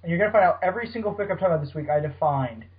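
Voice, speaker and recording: A man's voice: a little high, expressive, racing. A man hosting a podcast, chatting casually about fan fiction with banter and frequent swearing. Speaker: podcast host. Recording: dull lo-fi podcast audio.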